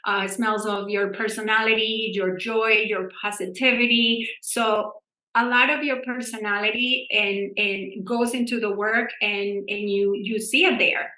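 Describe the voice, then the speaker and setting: A woman from Colombia, speaking as a perfumer on a panel, upbeat and expressive. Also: medium pitch, unhurried, roomy sound, poor audio. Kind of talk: panel discussion answer.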